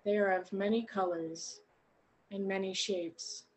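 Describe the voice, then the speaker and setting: A woman guiding a meditation in a gentle, unhurried way, leaving long pauses between short phrases. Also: medium pitch, fairly even intonation. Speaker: meditation guide. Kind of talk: guided meditation narration.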